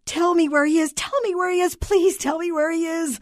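A woman's voice: extremely high pitch, fairly even intonation, a little fast, dry recording, studio quality, voice pushed up. A woman voicing a hysterical wife who sobs, wails and repeats herself as she begs for news of her hurt husband. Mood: sobbing despair. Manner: wailing, sobbing, repeats phrases three times.

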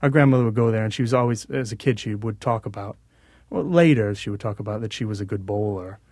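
A man speaks casually as a musician guest on a radio show, often stopping and restarting his thoughts. His voice is on the low side. The studio sound is close and dry. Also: darkish tone, dry recording. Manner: halting, many restarts.

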